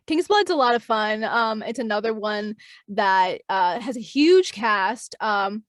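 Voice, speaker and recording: A woman's voice: mid-range voice, lively intonation, unhurried, no room sound, studio quality. A woman voice actress as an interview guest, answering in a friendly way with frequent ums and light laughs.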